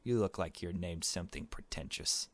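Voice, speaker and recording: A man's voice: low-pitched, moderate pace. A man giving casual, wry commentary as he plays and reads a visual novel aloud. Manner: wry, teasing asides. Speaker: let's player reading a visual novel aloud. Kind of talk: casual gameplay commentary mixed with read-aloud dialogue.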